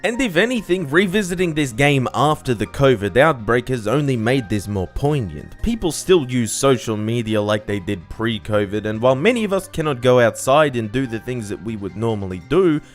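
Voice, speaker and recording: Lively male video game reviewer over music. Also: mid-range voice, moderate pace, hardly any pauses. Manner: enthusiastic, casually sweary. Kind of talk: scripted video essay narration. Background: music under the voice.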